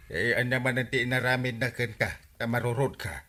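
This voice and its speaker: An actor playing a genial, coaxing village captain in an Ilocano radio comedy drama. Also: male voice, mid-range voice, a little fast, pushed and bright, close to an everyday voice.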